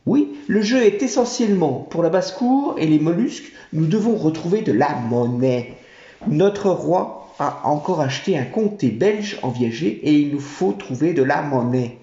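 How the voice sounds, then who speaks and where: A man doing a fawning monkey general in a storybook tale, a chattering tax collector who talks up his king. The voice is raised and lively, swooping up and down, with a mellow tone. It sits in a roomy, dull-sounding recording. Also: fairly high pitch, moderate pace. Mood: eager. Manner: obsequious, chattering.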